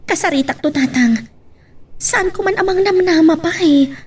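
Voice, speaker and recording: A woman's voice: extremely high pitch, moderate pace, a little mellow, far from the mic. A woman acting a dutiful, sorrowful grown daughter with an Ilocano accent in an audio drama.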